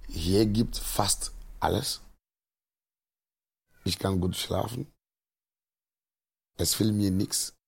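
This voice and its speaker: Low male, very slow, simple statements, rough husky voice. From outside Germany. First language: not German. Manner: short simple sentences. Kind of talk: personal testimony.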